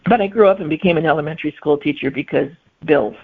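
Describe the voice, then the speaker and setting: A middle-aged woman, a guest author, answering interview questions in a thoughtful way and pausing to find her words. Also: sits low, slightly rough. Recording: phone-like remote line.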